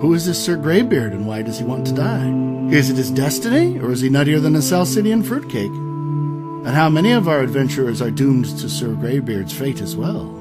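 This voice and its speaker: A man's voice: mid-range voice, sing-song, normal speed. A man reading scripted narration as the announcer of a show. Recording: music behind the voice.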